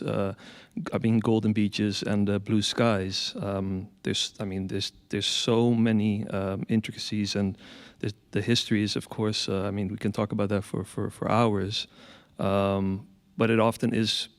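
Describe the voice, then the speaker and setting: A man from the Caribbean speaking as an artist on a panel, measured with many pauses and ums. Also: on the low side, flat delivery, long reverb tail. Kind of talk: panel talk.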